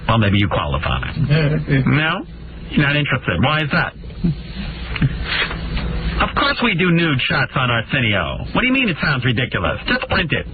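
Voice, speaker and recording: A man's voice: mid-range voice, huge pitch swings, moderate pace, long pauses. A man playing a pushy, lecherous casting man in a comic phone bit, stern, wheedling and indignant. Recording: tinny noisy phone line.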